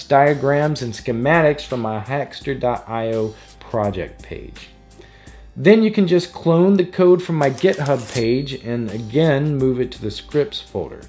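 A man narrates a scripted how-to tutorial as its host. His voice sits at a medium pitch, and his delivery is casual and explanatory. Music plays behind him. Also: a little mellow. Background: background music.

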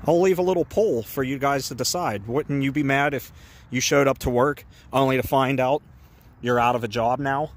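A man vlogging casually as he walks around an empty building outdoors, chatty and quick to get indignant, with a phone camera's sound. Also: mid-range voice. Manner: chatty, ranting, easily indignant. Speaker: urban explorer vlogger. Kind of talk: casual walk-around narration.

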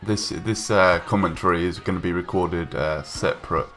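Young male gaming vlogger, very low voice, stuffed-up from a cold, hesitant rambling, faint music behind. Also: normal speed, a bit bright. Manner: rambling, hesitant, many ums and restarts. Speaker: gaming YouTuber. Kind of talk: casual voice-over commentary. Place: home room, recorded separately from gameplay.

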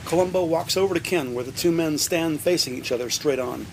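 A man's voice: on the high side, brisk, creaky, background noise. A man reading scripted scene descriptions as the narrator of an audio drama.

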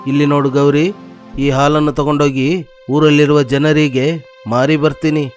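Man playing a stern, stingy husband, slightly high voice. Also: flat delivery, a little slow, faint music behind, close to an everyday voice. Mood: stern, dismissive. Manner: scolding, demanding. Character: stingy, stern husband, a milk seller and farmer. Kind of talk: acted children's moral story dialogue.

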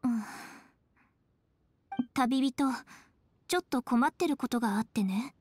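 Composed young noblewoman played by a woman, high voice, formal and serious, anime game. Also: darkish tone, slight background noise, lightly acted. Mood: troubled. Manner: measured, formal. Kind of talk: Japanese anime game voice acting.

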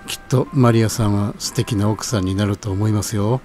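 A man playing a kindly, knowledgeable older cook in a radio sound drama, explaining things politely and gently. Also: on the low side, steady tone, a little fast, faint music behind, close to an everyday voice. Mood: kindly, advising.

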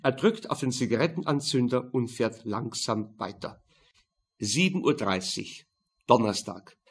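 A man's voice: mid-range voice, husky. A man narrating a crime novel audiobook in a dry, matter-of-fact manner.

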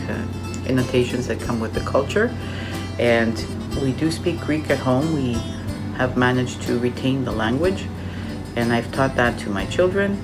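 Middle-aged canadian woman talking about her family, lots of pauses, small office, loud music. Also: rumbling low. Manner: hesitant, with many pauses and fillers. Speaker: interviewee. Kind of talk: casual personal account.

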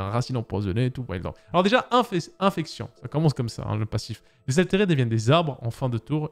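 A man raised in Switzerland chats through a game class breakdown at an even pitch, talking straight to his viewers and filling gaps with little filler words. He sits at a boom-arm studio mic in a home streaming room, and faint music plays underneath. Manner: chatty, uses filler words, addresses viewers directly. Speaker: gaming content creator.

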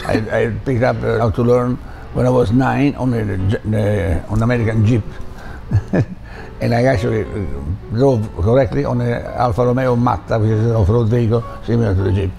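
A man's voice: mid-range voice, moderate pace, vocal fry, soft background music. An older man with an Italian accent, a restaurant owner and chef telling stories in a seated interview, with many restarts and Italian phrases. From Italy. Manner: animated storyteller, repeats words, drops into Italian. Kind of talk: casual interview. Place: bright room by a window.

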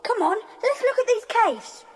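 Eager schoolboy, tiny high voice, lively, kids audio drama. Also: female voice, a little slow, heavily acted. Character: keen English schoolboy adventurer. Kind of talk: children's audio drama acting.

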